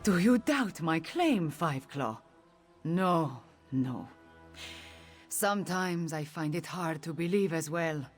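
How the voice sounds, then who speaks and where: A woman playing a proud, solemn exiled princess in a fantasy game, speaking gravely and formally in a husky voice. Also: expressive, takes it slowly, faint music behind. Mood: wounded, proud. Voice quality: low, husky. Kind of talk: video game character acting.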